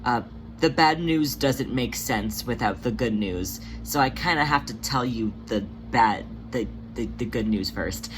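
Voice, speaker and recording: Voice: medium pitch, unhurried, background noise, lightly acted. A narrator voicing a caring female cat healer in a careful, hesitant, reassuring way.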